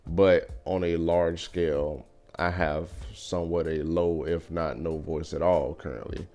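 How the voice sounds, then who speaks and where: Young guy vlogging from his car, real low voice, thoughtful and measured, soft music under it. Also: steady tone. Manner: thoughtful, measured, frequent fillers. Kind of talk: casual opinion commentary.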